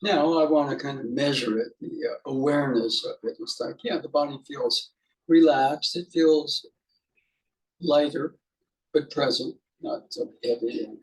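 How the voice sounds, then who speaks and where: Male meditation teacher, mid-pitched, guiding off the cuff in halting, reflective fragments with pauses between phrases. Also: rough recording. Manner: halting, musing, speaks in short fragments with pauses. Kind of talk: unscripted guided meditation.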